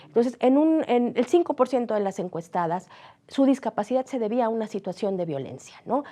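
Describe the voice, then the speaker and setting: A woman speaking as a guest expert in a studio interview, measured and steady, citing figures with frequent hesitations. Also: on the high side.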